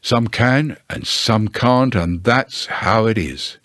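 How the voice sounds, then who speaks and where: A man reading a storybook aloud and voicing a gentle, simple-minded male bear who muses mildly. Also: fairly low pitch, slow, no echo, clean studio sound. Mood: wistful.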